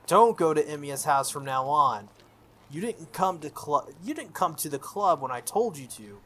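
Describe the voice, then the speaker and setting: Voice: medium pitch, animated, moderate pace, fairly bright tone. Someone putting on a haughty, sneering teenage schoolboy. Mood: sneering, bullying. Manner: contemptuous, haughty, needling.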